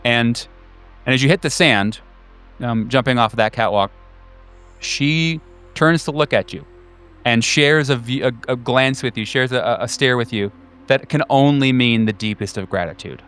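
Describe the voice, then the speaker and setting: A man hosting a tabletop horror game, improvising narration and table chat in a halting, searching way with repeated words. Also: medium pitch, fairly bright tone, clean tone. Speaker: game master and host. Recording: faint music behind the voice. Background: faint music.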